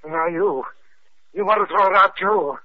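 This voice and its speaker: Actor as an agitated, high-voiced obsessive man, old radio. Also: moderate pace, natural voice. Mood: desperate, bitter. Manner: agitated, threatening. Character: unhinged man named Timothy, obsessed with a painting. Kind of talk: 1940s radio detective drama acting. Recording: muffled old radio broadcast recording.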